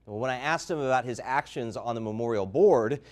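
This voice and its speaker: A man reading a scripted investigative television news report with emphatic, deliberate phrasing. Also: mid-range voice, no room sound.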